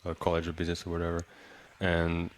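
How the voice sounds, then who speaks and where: A young man, a native Serbian speaker, talking in a relaxed interview as a college basketball player, with lots of fillers and restarts. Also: low voice, quick pace. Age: young adult. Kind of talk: casual interview.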